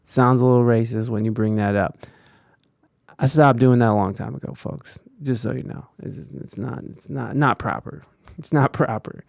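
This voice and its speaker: A man's voice: mid-range voice, moderate pace, vocal fry, a little mellow, no room sound, studio quality. A man streaming live, commenting on clips with sarcastic asides and repeated phrases.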